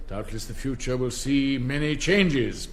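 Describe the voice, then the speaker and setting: A man's voice: medium pitch, measured pace, a little hiss or hum, lightly acted. An older man with an English accent playing a pompous, wistful headmaster in a rambling, donnish manner in a stage comedy. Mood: ceremonious. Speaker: retiring school headmaster. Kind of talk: stage comedy speech-making.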